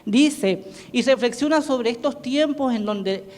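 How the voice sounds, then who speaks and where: A man whose first language is Portuguese gives an academic conference presentation as a panelist, talking haltingly with many restarts and self-corrections. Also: very high-pitched, a little mellow. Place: conference hall. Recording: reverberant hall sound.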